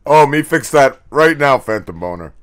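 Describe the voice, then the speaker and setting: A man's voice: mid-range voice, lively intonation, moderate pace, over music, close to an everyday voice. A man voicing a simple-minded, cheerful ape police officer who speaks in broken caveman grammar, in an adult comedy cartoon. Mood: dim, cheerful.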